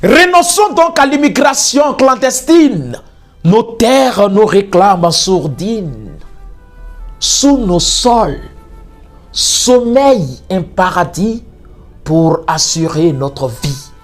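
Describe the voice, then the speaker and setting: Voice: medium pitch, big pitch swings, takes it slowly. A poet reciting spoken-word verse in a solemn, declamatory way with theatrical emphasis. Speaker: slam poet. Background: music under the voice.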